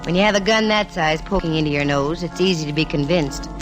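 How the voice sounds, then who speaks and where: An actress playing a wisecracking, cool-headed private detective in an old radio mystery, dry and sardonic. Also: female voice, sits low, brisk, over loud music, lightly acted, low-quality recording. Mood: narrating. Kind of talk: 1940s-50s radio mystery acting and first-person narration.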